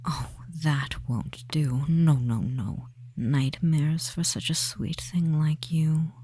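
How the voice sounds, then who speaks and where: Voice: mid-range voice, takes it slowly, mellow. A performer voicing a tender, devious male forest witch in an ASMR roleplay, whispering breathily and fussing soothingly over the listener with endearments. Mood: coaxing, concerned.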